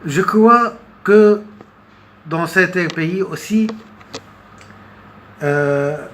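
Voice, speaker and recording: Very slow, halting man giving commentary. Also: sits high, a bit bright. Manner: halting, hesitant, with long pauses and repeated words. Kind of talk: unscripted political commentary monologue. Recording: noticeable background noise.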